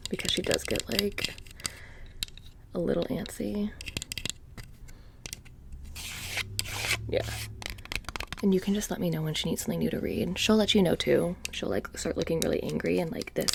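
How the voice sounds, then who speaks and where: A woman playing a petty, blasé immortal witch shopkeeper in a soft-spoken ASMR roleplay, rambling casually and slipping into whispers. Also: on the low side, animated, normal speed, pauses often, noisy background. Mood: casual, confiding. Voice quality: soft, breathy, creaky. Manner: casual rambling, many "like" fillers, drifting into whispers. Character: blasé, petty immortal witch running a haunted trinket shop.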